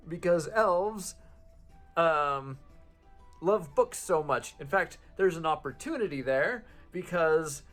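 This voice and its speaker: A man playing a male goblin engineer in his own voice, slowly giving halting advice while recovering from a sore throat. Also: sits high, a bit bright, exaggerated voice. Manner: slow, halting advice-giving with pauses and fillers. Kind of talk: improvised tabletop roleplay monologue.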